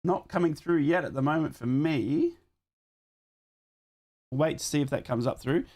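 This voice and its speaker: A man's voice: on the high side, moderate pace, darkish tone. A man hosting a live football watchalong, chatting casually, reading out live scores and thanking viewers for donations, a bit sick and losing his voice.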